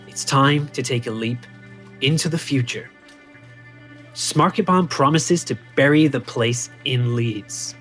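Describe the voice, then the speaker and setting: A man playing an excitable, insistent chief executive in a comedic audio drama, pitching hard. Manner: enthusiastic, insistent sales-pitch talk. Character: pushy, excitable male CEO obsessed with leads.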